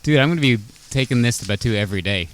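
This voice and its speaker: A man with a soothing voice chatting enthusiastically with friends, his pitch rising and falling freely. Faint noise sits behind him in a garage setting. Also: animated, very fast. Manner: enthusiastic, reacts with repeated exclamations. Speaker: podcast co-host. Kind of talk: casual chat among friends.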